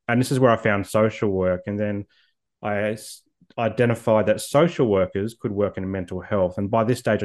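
A man in his forties, speaking reflectively as an interview guest, with frequent ums and repeated words. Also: fairly low pitch. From Australia. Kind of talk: personal story in an interview.

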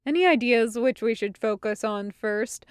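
Woman playing a wry, practical man, smooth-voiced. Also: on the high side, moderate pace, no room sound, clean studio sound, lightly acted. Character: wry, practical male adventuring companion. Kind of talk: audiobook character acting.

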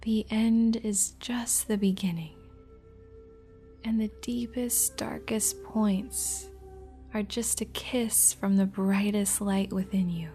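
Woman, guided meditation, soft breathy near-whisper, mellow tone, slow measured phrases, background music. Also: medium pitch, steady tone. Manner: gentle, measured, with long pauses between short phrases.

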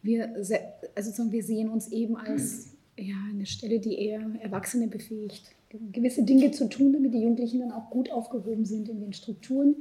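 A middle-aged woman born in Ukraine and raised in Israel, speaking as a psychologist on a discussion panel, thoughtful and hesitant with frequent fillers and soft trailing asides. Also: fairly high pitch, fairly even intonation, brisk, poor audio.

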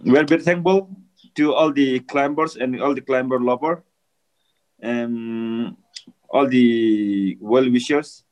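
A man with a Nepali accent, a native Nepali speaker, answering interview questions in halting, simple English. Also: mid-range voice, poor audio. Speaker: interview guest, mountaineer. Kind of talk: casual interview.

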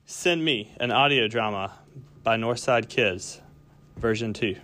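A man reading scripted Bible story narration for a children's audio drama, in a deliberate, line-by-line way. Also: mid-range voice, pushed and bright.

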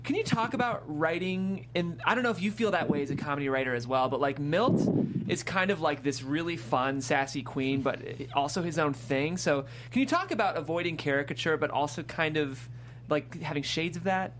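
A man's voice: a little high, brisk. A man hosting a casual interview, asking chatty questions with roundabout phrasing. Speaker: interviewer, show host. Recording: clearly noisy background hum.

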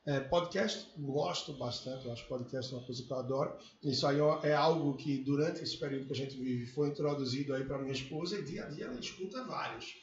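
A man's voice: medium pitch, a little fast, few pauses, slight background noise. A man in casual conversation, talking reflectively and with animation about family, hobbies and life. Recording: muffled echoey room, faint ambience.

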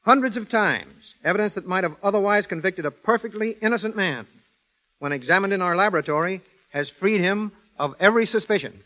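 A man's voice: high-pitched, a little fast, pushed and bright. A man giving precise, scripted explanations as a guest expert in a formal 1930s radio interview. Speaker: guest criminologist. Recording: dull 1930s radio broadcast.

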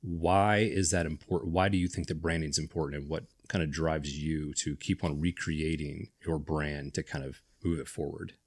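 A man's voice: very deep voice, fairly even intonation, normal speed, few pauses, slightly rough. A middle-aged man hosting a podcast, chatting casually and rambling with restarts and enthusiasm. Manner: rambling, self-correcting, enthusiastic. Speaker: podcast host. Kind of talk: casual chat.

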